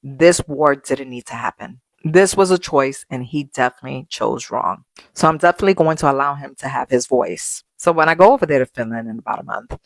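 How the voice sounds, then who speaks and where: A woman's voice: very deep voice, no room sound, poor audio. A middle-aged woman telling a story casually, emphatic and opinionated. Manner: emphatic, opinionated, acts out quotes. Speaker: social media commentator.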